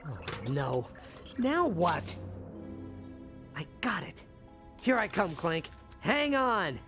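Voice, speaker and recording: A man playing an eager, brash and loyal young hero in an animated video game, with casual, youthful phrasing. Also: high voice, drawn-out words, over music, full character voice. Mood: concerned.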